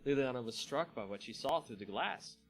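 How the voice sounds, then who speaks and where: A man's voice: medium pitch, expressive, a little fast, a bit bright. A man hosting a dramatic reading of a fantasy story, narrating with casual asides. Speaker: channel host and story reader. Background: music.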